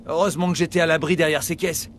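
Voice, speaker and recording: An actor playing a frightened, cowardly human survivor in a video game, nervous and rambling. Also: male voice, a little high, steady tone, fast talker, a bit bright, a little hiss or hum, natural voice. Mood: agitated, rattled. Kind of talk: dubbed video game cutscene acting.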